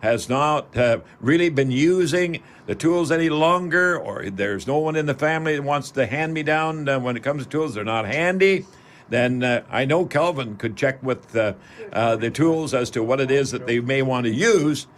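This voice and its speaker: A Canadian man hosting a call-in radio show, chatting casually in a folksy, rambling, halting way. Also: mid-range voice, slight background noise. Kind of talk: casual live radio chat.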